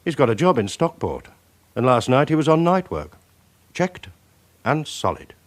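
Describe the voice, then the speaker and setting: Radio crime drama: actor as sceptical male police chief, authoritative, deliberate, mid-pitched with animated inflection. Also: close to an everyday voice. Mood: firm, sceptical. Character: shrewd, sceptical senior police boss, firmly in command.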